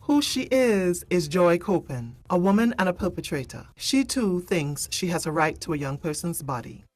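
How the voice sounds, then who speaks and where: Low-pitched woman narrator, firm and deliberate. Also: animated, darkish tone, no echo. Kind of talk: scripted explanatory narration.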